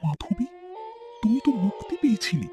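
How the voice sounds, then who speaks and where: A man's voice: high-pitched, big pitch swings, moderate pace, mellow. A man playing a brave, earnest young village man in a cartoon horror story. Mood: uneasy. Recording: music behind the voice.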